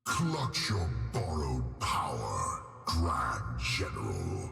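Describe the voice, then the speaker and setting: Cavernous, very deep undead iron warlord. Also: male voice, wildly swooping pitch, bright, forceful tone, exaggerated voice. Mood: menacing. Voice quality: gravelly, booming deep voice. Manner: slow, grandiose, scornful pronouncements. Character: towering undead iron warlord, menacing, contemptuous, imperious. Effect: heavy reverb. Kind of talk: video game villain voice lines. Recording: cavernous reverb, distant, music behind.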